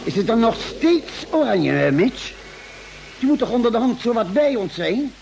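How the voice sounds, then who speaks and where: High-pitched, creaky, earnest spaceship doctor. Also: male voice, very animated, normal speed, heavily acted. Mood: puzzled. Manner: urgent, hesitant, repeating himself. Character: earnest, worried ship's doctor on a Mars expedition. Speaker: radio drama actor. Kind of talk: old Dutch radio play acting. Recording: vintage radio recording with faint noise.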